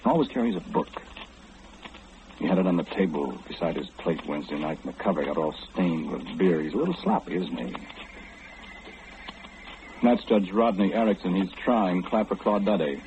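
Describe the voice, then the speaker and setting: An actor playing an eerie, all-knowing mind reader in old radio horror, speaking slyly and teasingly, straight to the listener. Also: male voice, sits low, animated, moderate pace, long pauses. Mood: sly, ominous. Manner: sly, insinuating, theatrical, speaking confidingly to the listener. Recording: muffled, noisy old radio broadcast.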